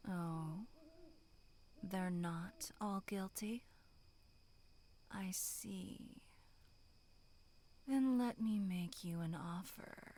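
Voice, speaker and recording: A woman voicing a bloodthirsty female demonic monster in a breathy, menacing whisper for a horror ASMR roleplay, sinister yet tender. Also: fairly low pitch, darkish tone, slight background noise, heavily acted. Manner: slow, drawn-out, menacing whispering.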